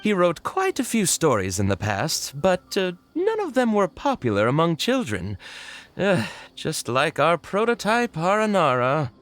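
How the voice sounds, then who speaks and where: Flustered shopkeeper, swooping pitch. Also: male voice, huge pitch swings, a little slow, a bit bright, full character voice. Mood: explaining. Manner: hesitant, pleading. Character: flustered, pleading toy shop worker. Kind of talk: anime video game voice acting.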